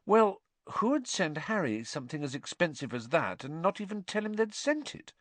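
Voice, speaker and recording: A man voices a cautious teenage girl witch for an audiobook. She is prim and questioning, with a shrill, raised pitch that rises and dips a lot. The sound is dry. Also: sing-song, normal speed, dry recording. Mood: worried, insistent. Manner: careful, questioning, hesitant.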